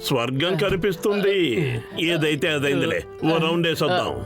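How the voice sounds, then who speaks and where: A reckless, greedy cartoon man acted by a man with a slightly high voice. He is bombastic and excitable, speaking over loud music. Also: a little fast, natural voice. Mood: eager. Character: reckless, boastful, food-loving adult man, cartoon hero. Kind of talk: exaggerated cartoon dub acting.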